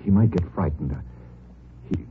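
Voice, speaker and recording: A man's voice: sits low, moderate pace, slight background noise, close to an everyday voice. An actor playing a frightened middle-aged father who stammers and hesitates anxiously, in 1940s radio acting. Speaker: father of kidnapped girl. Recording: tinny old radio broadcast.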